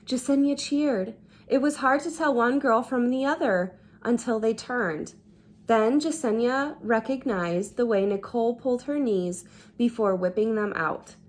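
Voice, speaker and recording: Woman, higher-pitched voice, very unhurried pace, expressive children's storybook narration, deliberate dramatic phrasing. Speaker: storyteller reading a children's book. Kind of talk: expressive read-aloud narration.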